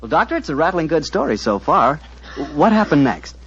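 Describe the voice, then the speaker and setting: A man announcing on a 1940s radio show, delivering a friendly, persuasive pitch in a husky voice. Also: sing-song, fairly quick, a bit bright. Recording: muffled 1940s radio broadcast.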